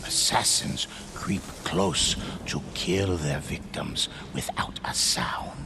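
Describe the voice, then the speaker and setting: A man playing a solemn storyteller in a game, narrating samurai-era legends in a measured, hushed, dramatic way with a gravelly voice. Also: extremely low pitch, background noise, heavily acted. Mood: hushed, ominous.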